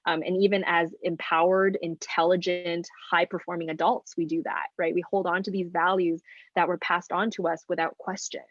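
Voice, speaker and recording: A woman's voice: lowish voice, little pitch movement, moderate pace, no room sound, lo-fi sound. A woman from China, a nutritionist guest on a podcast, explaining things thoughtfully in casual conversation.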